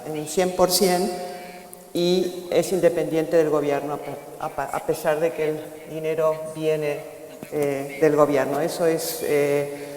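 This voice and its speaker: A woman's voice: low-pitched, level tone, a little slow, dark tone. An older woman speaking on a conference panel, hesitating often and searching for her words. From Argentina. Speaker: panelist, foundation director. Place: conference hall. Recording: echoey hall with long reverb.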